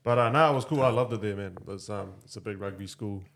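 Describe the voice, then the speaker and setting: A man in his thirties with a New Zealand accent, chatting as a podcast guest. His voice sits fairly low and has a gravelly, creaky edge. He tells his stories in a relaxed, joking way and pokes fun at himself. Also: fast talker. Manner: laid-back, joking, self-deprecating yarns. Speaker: podcast guest, former rugby player. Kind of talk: casual chat.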